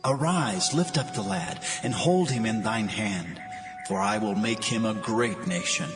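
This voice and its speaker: A man's voice: on the low side, a little slow. A man doing a reverberant heavenly angel. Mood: reassuring. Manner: calm, reassuring. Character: solemn male angel calling from heaven. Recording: long reverb with music behind.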